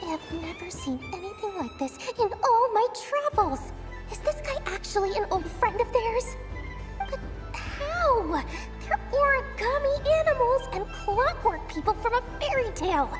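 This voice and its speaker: A woman's voice: extremely high pitch, sing-song, measured pace, full character voice. A woman voicing a scheming little female merchant in a video game, with a theatrical sales patter. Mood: astonished, suspicious. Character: scheming, greedy little female merchant running a prize stall. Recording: music behind the voice.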